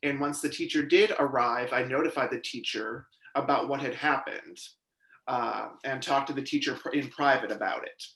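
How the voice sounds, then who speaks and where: A man interpreting a witness's testimony in the first person at a public hearing, with a measured, steady delivery. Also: mid-range voice, roomy sound, low-quality recording.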